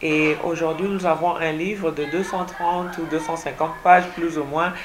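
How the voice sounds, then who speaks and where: A woman's voice: low voice, steady tone, normal speed, noisy background. A woman born in Congo whose first language is French, answering radio interview questions as an author, hesitant with many fillers and repeated words. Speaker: guest author.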